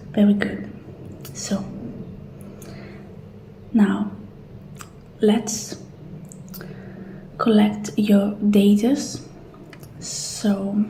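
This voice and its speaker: A woman playing a calm, polite futuristic clinic receptionist in a soft-spoken, gentle, unhurried ASMR roleplay. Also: medium pitch, roomy sound, slight background noise, rough recording. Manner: gentle, unhurried, reassuring customer-service talk.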